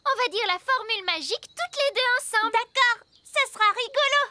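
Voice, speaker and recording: A woman voicing an eager, expressive little fairy princess girl in a French children's cartoon dub, with a girlish voice. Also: extremely high pitch, brisk, very noisy. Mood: concerned.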